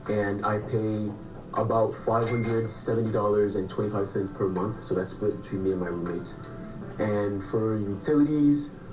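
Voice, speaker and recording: A man's voice: on the low side, a little mellow. A young man, a student, talking in a friendly way through his monthly costs in a short video. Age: young adult. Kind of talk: casual scripted video talk. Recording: roomy, distant, muffled video audio.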